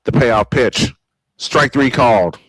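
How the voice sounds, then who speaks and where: A man's voice: medium pitch, takes it slowly, a bit bright, dry recording, low-quality recording. Man calling baseball play-by-play, dry close sound. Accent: American. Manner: old-school broadcast delivery. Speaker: play-by-play sportscaster. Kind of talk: live baseball commentary with casual asides. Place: small home-style studio.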